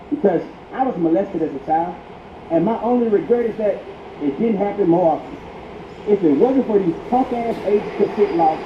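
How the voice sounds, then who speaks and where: A man delivering crude comedic mock tutorials in a profane, slangy, instructional manner. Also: high voice. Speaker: prank tutorial narrator. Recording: echoey, muffled, noisy intercom playback. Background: public transit ambience.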